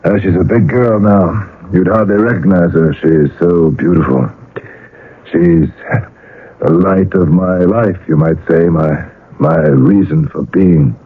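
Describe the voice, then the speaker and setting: An actor playing a weary, desperate painter in a 1970s radio drama, speaking in halting, broken phrases. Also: male voice, low-pitched, lively intonation, breathy edge, exaggerated voice. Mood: fond, downcast. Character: weary, desperate male painter, middle-aged or older, brooding. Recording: muffled, lo-fi old radio broadcast.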